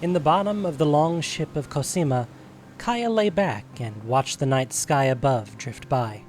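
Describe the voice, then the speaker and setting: A man's voice: mid-range voice, expressive, unhurried, a little mellow, slight background noise. A man narrating a scripted fantasy story as the reader of a story podcast. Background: music.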